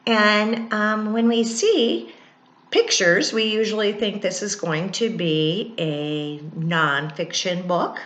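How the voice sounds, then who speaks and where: A woman reading a picture book aloud in a teacherly way, pausing to explain things to children. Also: medium pitch, a bit bright. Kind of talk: read-aloud storytelling with informal explanations to children. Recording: echoey, roomy sound.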